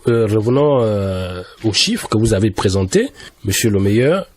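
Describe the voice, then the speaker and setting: Husky low-voiced male radio host. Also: moderate pace. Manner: calm, prompting questions, restates answers. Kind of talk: radio interview.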